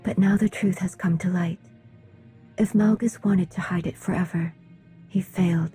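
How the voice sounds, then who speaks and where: Woman playing a dark-voiced, solemn alien envoy. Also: lowish voice, steady tone, faint music behind, natural voice. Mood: grave, formal. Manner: measured, formal, restrained. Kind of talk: video game cutscene dialogue.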